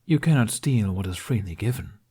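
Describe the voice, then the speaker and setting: A man's voice: lowish voice, lively intonation, brisk, mellow, slightly rough. A man reading the part of a kindly, wry older Jedi master in a story, with dry, teasing courtesy.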